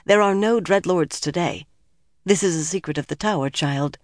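A woman narrator voicing a solemn, motherly but secretive senior sorceress in an audiobook, speaking in hushed, measured, firm tones. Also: on the low side, dry recording, clean studio sound, close to an everyday voice. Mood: hushed, urgent.

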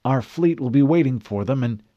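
Guy doing a cool woman sniper for an audiobook, pitched up, matter of fact, dry recording. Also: lively intonation, normal speed, warm tone, no room sound, highs cut off, close to an everyday voice. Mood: assured. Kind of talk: audiobook character voice.

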